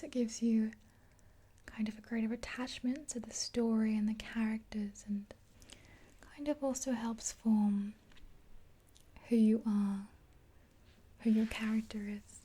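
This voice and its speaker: A woman in her twenties whispering softly and gently in a rambling, casual ASMR roleplay, close to the mic. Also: on the high side. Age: 20s. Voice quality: breathy whisper. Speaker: ASMR roleplayer as makeup artist.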